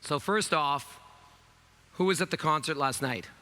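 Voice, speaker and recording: A man in his fifties with a raspy voice, hosting a conference keynote in a loose, chatty way with many fillers. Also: fairly high pitch, a little slow, sharp tone. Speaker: keynote host. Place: large conference stage.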